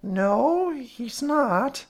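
High, fretful stout working-class housewife. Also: female voice, big pitch swings, measured pace, darkish tone, exaggerated voice. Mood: stolid, suspicious. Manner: stolid, colloquial uneducated speech, stammers when scared. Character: stout, suspicious, fretful working-class woman building caretaker's wife.

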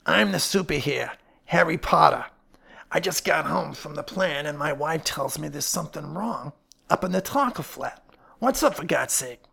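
Man acting a growling, gruff building superintendent. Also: on the high side, animated, moderate pace, sharp tone, full character voice. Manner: blunt, slangy, grumbling. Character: gruff, thick-set working-class male building superintendent, blunt and irritable.

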